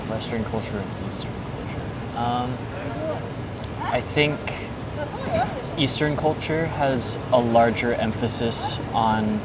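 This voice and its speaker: A man in his twenties from the United States, answering interview questions outdoors in a hesitant, thoughtful way with many pauses and ums. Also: medium pitch. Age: 20s. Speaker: interview guest. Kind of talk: casual street interview. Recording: distant mic, loud traffic noise.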